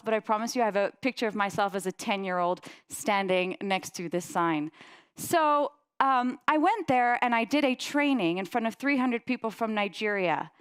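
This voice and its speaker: Animated Dutch-born woman, clear high voice. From Netherlands. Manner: animated, laughs often, lively voices in anecdotes. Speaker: presenter. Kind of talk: personal storytelling presentation.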